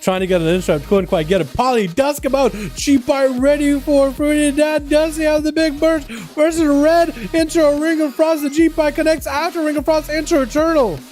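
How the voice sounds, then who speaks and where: A man's voice: extremely high pitch, steady tone, moderate pace, few pauses, darkish tone. A young man from Russia casting video game duels live, chatting loosely with his viewers and calling them "boys.". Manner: chatty, calls out to chat as "boys". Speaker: livestream host and duel caster. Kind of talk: casual game commentary.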